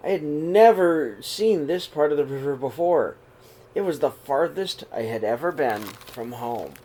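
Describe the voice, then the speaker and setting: A middle-aged man reading a children's picture book aloud in a small room, slow and expressive. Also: on the high side, slight background noise. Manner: reads slowly and expressively, voices the characters' calls. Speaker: storybook reader. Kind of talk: read-aloud storytelling for children.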